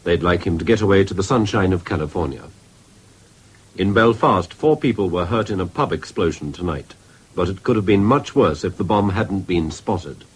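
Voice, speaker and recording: A man's voice: low voice, expressive, moderate pace, breathy edge. A man reading a formal scripted radio news bulletin. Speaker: radio newsreader.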